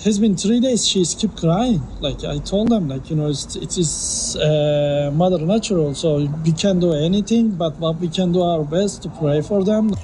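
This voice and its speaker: A man from Turkey with a Turkish accent, a restaurant owner speaking haltingly with many fillers in a news interview. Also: a little high, dark tone, slight background noise, low-quality recording.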